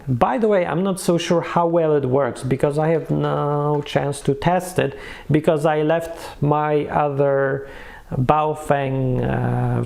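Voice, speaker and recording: A man's voice: a little high, gravelly, a little mellow. A man who is a non-native English speaker gives a casual, unscripted product review, searching for words and correcting himself as he goes. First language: not English.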